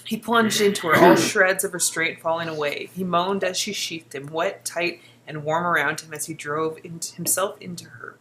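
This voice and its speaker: A woman's voice: lowish voice, fairly even intonation, normal speed, hardly any pauses, a bit bright, slight background noise, rough recording. A woman reading a book excerpt aloud informally, with a playful, giggly manner and off-the-cuff asides. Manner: giggly, playful, often breaking off.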